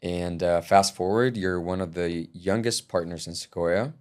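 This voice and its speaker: A man's voice: low-pitched, measured pace. A young man hosting a podcast conversation, talking loosely with many fillers and friendly praise. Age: young adult. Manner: relaxed, filler-heavy, warm toward guest. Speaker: podcast host and musician.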